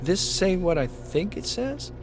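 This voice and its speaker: A man's voice: high-pitched, big pitch swings, unhurried, music in the background, voice pushed up, full character voice. A man voicing a meek young outlaw sidekick in a western audio story. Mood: uneasy.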